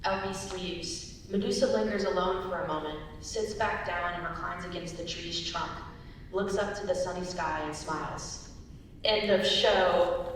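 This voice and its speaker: A woman's voice: lowish voice, steady tone, a little slow. A young adult woman reading scripted stage directions as narrator at a live staged reading. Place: large indoor room at a live reading. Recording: echoey hall from far off, faint noise.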